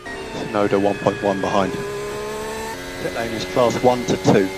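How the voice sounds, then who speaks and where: A man's voice: on the low side, fairly even intonation, a little slow, loud music behind the voice. A man working as a race engineer, giving clipped, repeated instructions over motorsport team radio. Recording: noisy car team radio.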